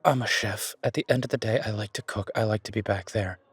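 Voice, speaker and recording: A man's voice: fairly low pitch, fast talker, breathy edge, no room sound. A man playing an easygoing, affectionate chef in an audio roleplay, talking in a casual, folksy, reassuring way. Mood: restless, friendly. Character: easygoing down-home male chef, affectionate restaurant owner.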